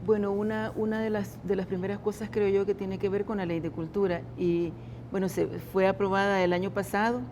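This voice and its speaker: A woman speaks as a government official in a studio interview. Her voice is mellow and unhurried, and she stops and restarts her sentences often. A faint noise sits under the recording. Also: medium pitch, little pitch movement. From El Salvador. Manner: halting, many restarts and repeated words. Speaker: government culture secretary, interview guest. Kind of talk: interview answers.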